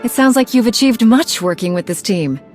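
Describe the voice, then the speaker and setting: Bright-spirited, high, lively heroine played by an actress. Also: female voice, moderate pace, faint music behind, close to an everyday voice. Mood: interested, friendly. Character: interested, friendly female hero commander. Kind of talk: video game voice acting.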